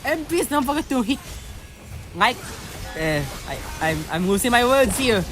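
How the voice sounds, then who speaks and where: A woman's voice: on the high side, sing-song, moderate pace. A young woman casually voicing over a mobile game tutorial, rambling with lots of fillers and restarts and slipping into an exasperated rant. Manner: exasperated ranting. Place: home voice-over recording. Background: faint background music.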